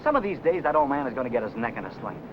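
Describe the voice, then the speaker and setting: A man's voice: medium pitch, big pitch swings, racing, natural voice. An actor playing an indignant, chatty American wise guy in a 1950 film noir, griping in period slang. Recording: noisy, dull old film soundtrack.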